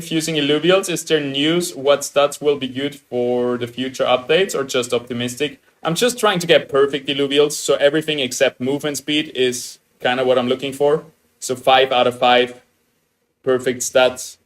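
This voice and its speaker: A young man with an Austrian German accent, chatting excitedly to his viewers as a game streamer. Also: mid-range voice. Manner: excitable, upbeat, chatty with restarts. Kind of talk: casual livestream chat, greeting viewers.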